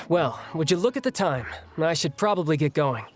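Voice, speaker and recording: Man playing a high-voiced, breezy young warrior. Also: lively intonation, normal speed, close to an everyday voice. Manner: casual, breezy, playful. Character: cocky, friendly young warrior man who loves sparring. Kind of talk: video game voice acting. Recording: music playing behind the voice.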